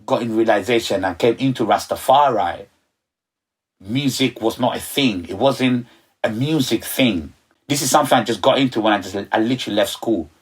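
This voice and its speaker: A man's voice: medium pitch, moderate pace, slightly rough. A middle-aged man from Ghana, a guest talking in a loose, rambling way, often saying "you know". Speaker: sound system operator, guest. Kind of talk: relaxed interview chat.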